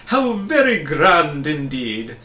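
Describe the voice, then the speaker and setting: A man's voice: a little high, sing-song, slow pace, pushed and bright, reverberant, a little hiss or hum, voice pushed up, exaggerated voice. A man voicing a pompous, grandiose bug for a storybook, declaiming in florid, theatrical style. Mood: grandly enthusiastic. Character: pompous, grandiose, easily offended humbug bug.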